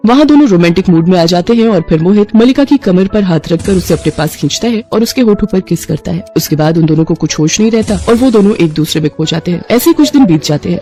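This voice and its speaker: A woman's voice: on the low side, mellow. A woman reading a scripted story as its narrator, with an account told in the third person. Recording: music behind the voice.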